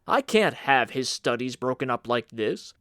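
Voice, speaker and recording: A man's voice: mid-range voice, big pitch swings, measured pace, a bit bright, no room sound, studio quality. A man reading an audiobook as a stern, insistent uncle and guardian, speaking formally and firmly. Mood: firm, curt. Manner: firm, formal, commanding. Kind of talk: audiobook character dialogue.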